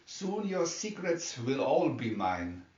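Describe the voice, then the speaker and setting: A scheming male arch villain, acted out by a man reading a storybook, gloats with hissing menace. The recording is muffled and distant, with clear room echo. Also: mid-range voice, big pitch swings, takes it slowly, darkish tone. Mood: gloating menace.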